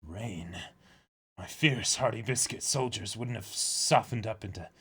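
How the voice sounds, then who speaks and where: Man putting on a bright, theatrical pirate villain. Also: fairly low pitch, unhurried, breathy edge, exaggerated voice. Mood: scolding, menacing. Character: stern, menacing biscuit-soldier commander, a male pirate villain. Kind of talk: amateur manga dramatic reading.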